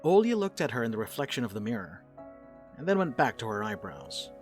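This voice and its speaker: A man's voice: medium pitch, sing-song, a little fast, soft background music. A man narrating a dramatic reading as a scripted storyteller.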